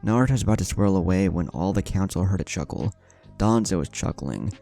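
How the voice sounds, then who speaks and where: Deep mellow guy reading out a story, lively pitch, music behind him. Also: a little fast, vocal fry. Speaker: narrator of fan fiction. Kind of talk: scripted story narration with character dialogue. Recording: background music under voice.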